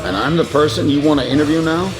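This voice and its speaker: A man's voice: fairly high pitch, fast, close to an everyday voice, rough recording. A man playing a modest, good-humored old ex-fighter pilot in a game, talking in a wry, self-deprecating way. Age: older. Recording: guitar music behind the voice.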